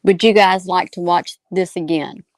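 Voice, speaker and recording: A woman's voice: lowish voice, moderate pace, dry recording. A woman co-hosting a casual livestream, talking in an earnest manner. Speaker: livestream co-host.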